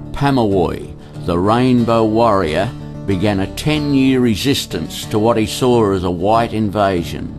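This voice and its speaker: A man giving scripted historical narration in a radio play. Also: lowish voice. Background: guitar music.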